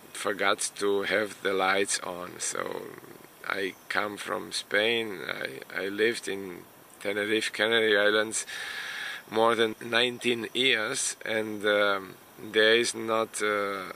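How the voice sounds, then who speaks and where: Native Romanian speaker, male, slow and halting vlog delivery, gravelly low voice, faint background noise. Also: bright, forceful tone, rough recording. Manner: unhurried, hesitant, many fillers. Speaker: immigration consultant vlogger. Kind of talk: casual talk to camera.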